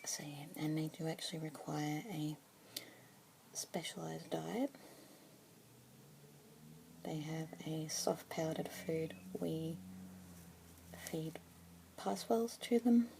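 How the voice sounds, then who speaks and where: Woman, gentle unvoiced whisper, mellow dark tone, slow calm pacing. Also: deep voice, creaky, a little hiss or hum. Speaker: ASMR roleplayer as pet adoption consultant. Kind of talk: whispered ASMR roleplay.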